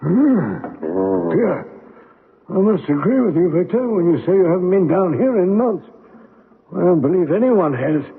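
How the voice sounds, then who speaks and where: An actor playing a fussy, easily astonished older gentleman sidekick with a hesitant, blustering delivery in a radio drama. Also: high-pitched, lively intonation, moderate pace, long pauses, slightly rough, heavily acted. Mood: curious. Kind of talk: old-time radio drama acting. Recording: muffled narrow-band old radio recording.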